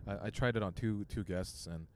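A young man with a deep voice, guesting on a casual podcast and telling stories with plenty of swearing. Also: moderate pace, smooth, dry recording, studio quality. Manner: animated storytelling, heavy swearing. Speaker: podcast guest and stand-up comic. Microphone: handheld microphone.

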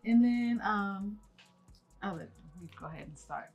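A woman in her twenties hosting a family podcast, friendly and quick to laugh. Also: mid-range voice, darkish tone, highs cut off, soft background music. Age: 20s. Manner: giggly and friendly, laughs often. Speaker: podcast host.

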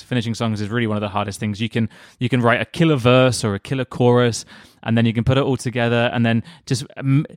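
A man's voice: mid-range voice, no echo. Dry-sounding male co-host, chatty. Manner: musing, with frequent fillers and restarts. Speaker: podcast co-host. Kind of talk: relaxed conversational chat.

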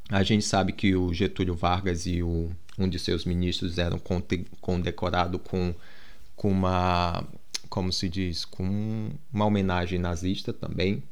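A man's voice: sits low, darkish tone, clear, resonant tone. A Brazilian man with a Brazilian Portuguese accent, talking casually to his viewers in a rambling monologue full of restarts and fillers. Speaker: vlogger.

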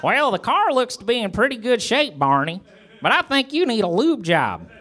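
A man's voice: extremely high pitch, sing-song, moderate pace, very noisy, voice pushed up. A man voicing an eager, folksy small-town garage mechanic in a comic parody reading.

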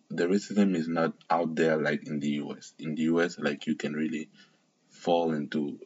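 A man from Cameroon talks through a reality show episode in a loose, opinionated ramble, backtracking and correcting himself as he goes. His voice sits extremely low with a husky edge, and the recording sounds dull and lo-fi. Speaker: reality TV recap vlogger. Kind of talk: casual spoken recap.

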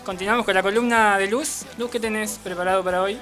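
A woman's voice: mid-range voice, steady tone, fast talker, a bit bright. A young adult woman with an Argentine accent, hosting an informal live radio show and handing over to her colleagues. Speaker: radio host. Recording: music playing behind the voice. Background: music.

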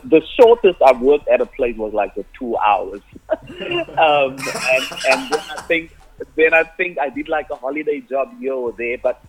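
A man's voice: fairly low pitch, husky, phone-quality sound. A man, an Afrikaans speaker telling his story as a musician guest in a rambling way, full of restarts. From South Africa, Cape Flats. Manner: rambling, many restarts, laughs often. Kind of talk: casual interview answers.

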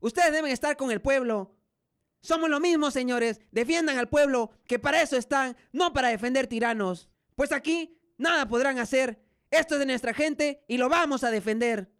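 Mexican radio play protest guy acted by a young man, defiant, really high voice, crisp. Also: moderate pace, a bit bright. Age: young adult. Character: defiant rural Mexican protest leader and husband. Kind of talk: amateur radio drama acting.

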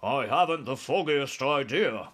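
A man's voice: medium pitch, lively intonation, unhurried, a bit bright, husky. A man voicing a cheerful, fearless young military pilot in a storybook, breezy and unflappable. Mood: matter-of-fact.

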